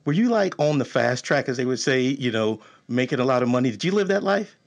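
A man's voice: medium pitch, brisk. A man hosting a casual podcast interview, enthusiastic and full of eager questions. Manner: enthusiastic, excitable, asks many questions. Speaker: podcast host.

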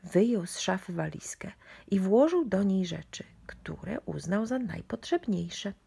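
A woman storyteller reading a children's bedtime book. Her delivery is expressive and swoops in pitch, in a breathy, husky voice with some creak at the edges. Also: a little slow. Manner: expressive, addressed to young children.

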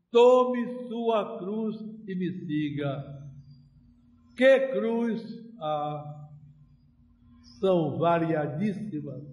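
Very slow, high-pitched Brazilian male preacher. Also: darkish tone. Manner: solemn, deliberate, with long pauses between short phrases. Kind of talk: homily. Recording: roomy, echoing church-like sound.

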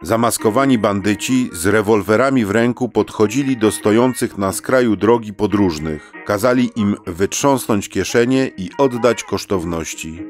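A man's voice: lowish voice, moderate pace, hardly any pauses. A man reading a scripted adventure story as a storybook narrator. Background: faint guitar music.

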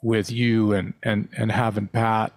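A man co-hosting a podcast, talking in a rambling, halting way with casual swearing. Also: on the low side, little pitch movement, dry recording. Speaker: podcast co-host. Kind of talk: casual interview chat.

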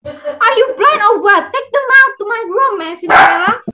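A woman's voice: extremely high pitch, lively intonation, normal speed, pushed and bright, a little hiss or hum, heavily acted. A woman playing a spoiled, haughty and demanding young stepsister in a readers theater. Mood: panicked, demanding. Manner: whiny and demanding. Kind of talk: readers theater acting on video call. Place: indoors on a video call.

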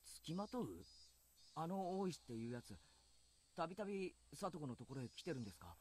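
A man's voice: fairly high pitch, lively intonation, very fast, darkish tone, a little hiss or hum, lightly acted. An actor playing an earnest, inquisitive teenage boy in a Japanese visual novel, asking questions in a puzzled way. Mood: puzzled, concerned.

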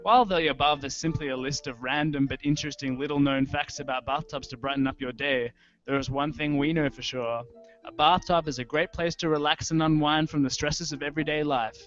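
A young man giving a measured, deliberate literary reading of experimental fiction into a close microphone. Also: a little high, steady tone, a bit bright, faint music behind. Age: young adult. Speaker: writer reading his own audio fiction. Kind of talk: literary reading over a soundscape. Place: indoor room with wooden ceiling. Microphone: handheld-style microphone close to mouth, video call. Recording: dull lo-fi online stream. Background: prominent musical soundscape.

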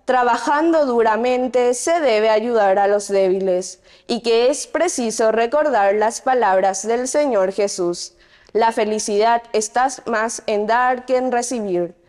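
A woman's voice: fairly high pitch, a little slow, a little mellow. A young woman reading scripture at Mass as a lector. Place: indoor chapel. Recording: long chapel reverb.

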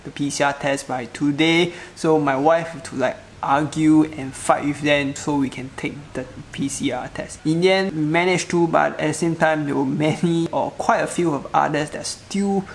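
A man's voice: on the high side, normal speed, gravelly, hardly any pauses, a little hiss or hum. A young man chatting casually and informally as a travel vlogger, recorded in a room at home. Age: young adult. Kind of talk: casual travel vlog talk. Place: small living room at home, seated indoors. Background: music.

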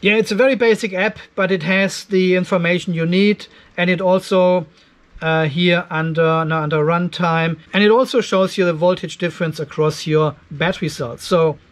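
An older man with a noticeably high voice talks through a hands-on tech demonstration. His delivery is informal and conversational, as if thinking aloud while he checks readings for viewers. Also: fairly even intonation, normal speed. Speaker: host of an off-grid battery testing channel. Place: cluttered indoor garage workshop.

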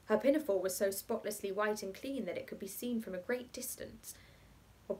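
A coolly inventive bachelor as read by a woman spinning a tale for children. The delivery is matter-of-fact and deliberate, in a fairly high voice, as in a storybook reading. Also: lightly acted.

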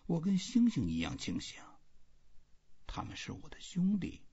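A man voicing a weary, stubborn old fisherman in an audiobook, talking to himself slowly and half under his breath. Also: high-pitched, huge pitch swings, mellow, slightly rough, dull audio, voice pushed up, heavily acted. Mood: self-urging.

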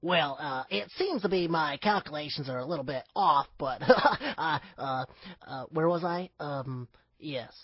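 A man's voice: fairly high pitch, lively intonation, a little slow, husky, no echo, highs cut off, heavily acted. A man acting an absent-minded cartoon scientist who mutters and dithers. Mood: flustered.

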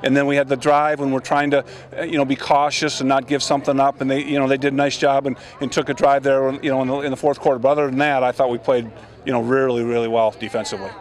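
A middle-aged man, a football coach, giving a postgame interview outdoors on a field into a handheld mic, talking quickly with many fillers and restarts. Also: mid-range voice, little pitch movement. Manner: rapid, with many fillers and repeated words. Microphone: handheld microphone.

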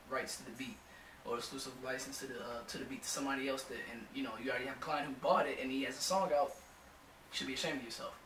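A hoarse young man in his twenties giving blunt, profane advice to music producers in a casual home studio vlog. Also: medium pitch, a bit bright, echoey room, recorded from a distance, slight background noise, poor audio. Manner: blunt, swears freely, lots of "you know what I'm saying".

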